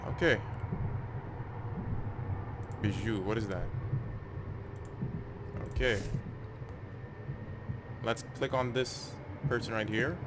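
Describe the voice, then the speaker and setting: A man's voice: on the low side, slow pace, long pauses. A man giving casual, unscripted game commentary, hesitantly thinking aloud as he plays. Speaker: gaming video host. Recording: clear background noise.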